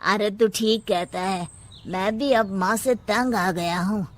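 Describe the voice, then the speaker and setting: Woman playing a measured, firm grown son. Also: mid-range voice, close to an everyday voice. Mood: resolute. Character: reasonable, firm grown son standing up to his mother.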